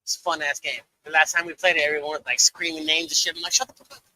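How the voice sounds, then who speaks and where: A male host running a casual live interview, quick and swearing as he pushes viewers to call in. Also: on the high side, little pitch movement, sharp tone, a little hiss or hum, poor audio.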